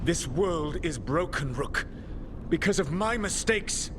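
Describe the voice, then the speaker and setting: An actor playing a weary, regretful ancient elven god in a video game, speaking in a formal, measured, sorrowful way. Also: male voice, expressive. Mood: solemn regret. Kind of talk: dramatic video game cutscene acting. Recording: loud ambient rumble behind voice.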